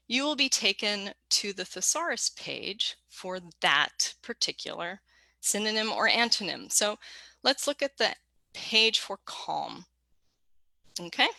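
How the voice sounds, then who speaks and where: A woman with a bright, crisp tone explains a reference website step by step in an upbeat, teacherly manner. She sounds close to the mic in a dry room with no echo, and her voice sits at a middle pitch. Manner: clear, upbeat, instructional. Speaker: dictionary presenter. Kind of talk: explanatory screen walkthrough.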